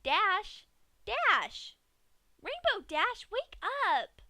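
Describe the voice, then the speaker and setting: A woman's voice: very high-pitched, sing-song, drawn-out words, pushed and bright, dry recording, pitched above the performer's own voice, full character voice. A woman voicing a giddy, sadistic female pink pony who talks in a chirpy, singsong way. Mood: shouting. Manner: chirpy and singsong, cheerfully menacing.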